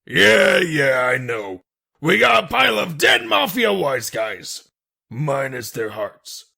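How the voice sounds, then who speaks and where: A man voicing a loud, grouchy hard-boiled detective in a comic dub, griping in slangy bluster with a raspy, forceful voice. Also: on the high side, sing-song, takes it slowly, exaggerated voice. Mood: indignant.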